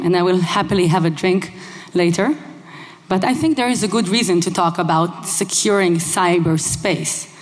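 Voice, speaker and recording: A young woman whose first language is Hebrew, giving a lively conference talk on a headset mic in a large hall, engaging the audience with questions. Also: on the low side. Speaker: conference speaker. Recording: long hall reverb.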